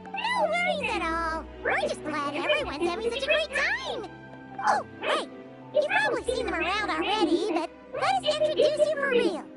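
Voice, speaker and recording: A tiny, excitable game companion speaks in an extremely high voice. The delivery is bubbly and friendly, with big swoops in pitch, over clear background music. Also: female voice, moderate pace. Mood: friendly, cheerful. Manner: bubbly, exclaiming. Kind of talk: video game voice acting. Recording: music behind the voice.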